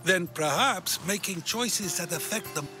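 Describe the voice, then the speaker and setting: An actor playing a condescending Greek philosopher in a video game, pompous and musing. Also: male voice, high voice, lively intonation, unhurried, loud music behind the voice. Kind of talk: video game cutscene acting.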